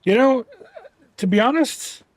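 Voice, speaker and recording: A man chatting casually on a home livestream through a headset mic, rambling with lots of restarts and fillers in a relaxed, soft-spoken way. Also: very high voice, measured pace, no room sound, low-quality recording. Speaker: streamer.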